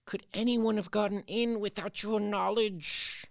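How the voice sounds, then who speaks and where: A courteous young officer. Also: male voice, sits high, steady tone, measured pace, gravelly, no echo, clean studio sound. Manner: courteous and formal. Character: polite young male army officer searching a house. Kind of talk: college radio play acting.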